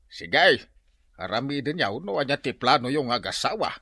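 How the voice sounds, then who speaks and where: An actor playing an inquisitive, agreeable older father figure with a rough voice in a comedic radio drama. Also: medium pitch, very animated, normal speed, sharp tone, no echo, clean studio sound, heavily acted. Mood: encouraging.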